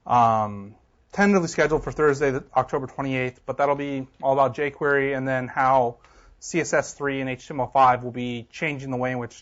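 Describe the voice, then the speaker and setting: A man organizing a tech meetup, casually reading out upcoming events with many fillers. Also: medium pitch. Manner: relaxed, filler-heavy. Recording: dull lo-fi audio.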